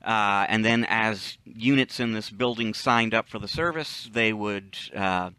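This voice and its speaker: A male podcast co-host speaks in a fairly low but bright, forceful voice. He comments casually and explains things in a measured way, recorded close and dry. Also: clear, resonant tone, dry recording.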